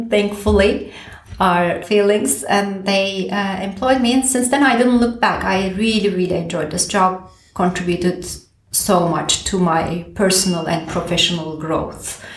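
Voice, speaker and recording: Woman from Turkey, pro bono director, chatty and animated in an echoey room. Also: fairly low pitch. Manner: animated, thinks aloud with many fillers and restarts. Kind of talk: conversational podcast interview.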